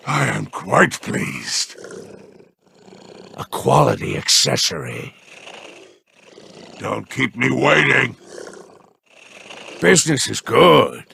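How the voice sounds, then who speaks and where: An actor playing a smug, scheming male alien crime boss in a video game, with a deep gravelly growl and a slow, mockingly polite manner. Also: pushed and bright, slight background noise, full character voice. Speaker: merchant boss.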